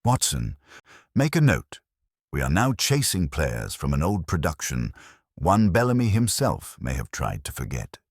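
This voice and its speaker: Guy doing a commanding victorian sleuth, super deep, terse and probing, audiobook style, lively pitch. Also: a little slow, slightly rough, dry recording, studio quality, heavily acted. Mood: pensive, commanding. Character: shrewd, commanding Victorian male detective. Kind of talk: audiobook character dialogue.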